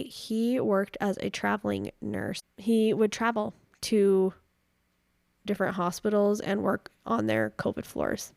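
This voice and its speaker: A woman hosting a podcast from her home, chatty and upbeat, her voice a little raspy after a cold. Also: mid-range voice, darkish tone, no echo, clean studio sound. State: recovering from a cold. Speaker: podcast host. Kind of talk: casual podcast chat.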